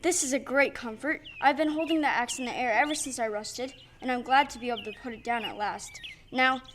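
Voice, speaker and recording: A woman playing a gentle, polite man made of tin in a stage audio play, speaking in a measured, formal, earnest way. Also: a little high, a little hiss or hum, natural voice. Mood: relieved. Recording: live stage recording with music underneath.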